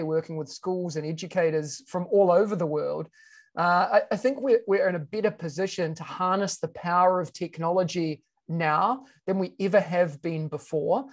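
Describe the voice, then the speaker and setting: A man in his thirties with a New Zealand accent, speaking on a video-call panel as an education consultant, filling his talk with "you know" and restarts. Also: high voice. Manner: conversational, frequent "you know" fillers and restarts. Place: home room. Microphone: video call webcam.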